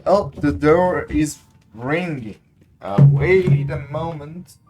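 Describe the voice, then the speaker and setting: Slow-talking game streamer, halting English speaker. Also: mid-range voice, lively intonation, music in the background. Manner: halting, searching for words. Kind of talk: casual livestream chat over gameplay.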